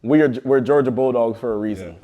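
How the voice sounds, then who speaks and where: Young male athlete, average pitch, lively emphatic storyteller in casual chat. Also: brisk. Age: young adult. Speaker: college football player.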